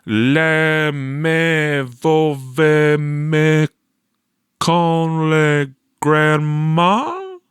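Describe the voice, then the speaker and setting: A man voicing a nervous seventh-grade boy in a story, halting and mumbling as he tries to bluff. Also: a little high, animated, a bit bright, smooth, dry recording, clean studio sound, pitched above the performer's own voice, heavily acted. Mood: uncertain, bluffing. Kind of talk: audiobook character voice.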